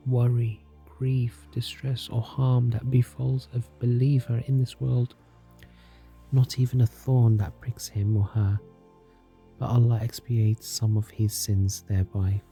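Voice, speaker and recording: A man leads a guided meditation in a soft, soothing, scripted narration, calm and gentle, leaving long unhurried pauses. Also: medium pitch, gravelly. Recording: music behind the voice. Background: soft music.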